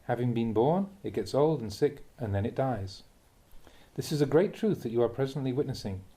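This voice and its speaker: Man, mellow relaxed tone, lively rise and fall of pitch, deliberate phrasing, spiritual talk read aloud. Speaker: monk reading a Buddhist teaching aloud.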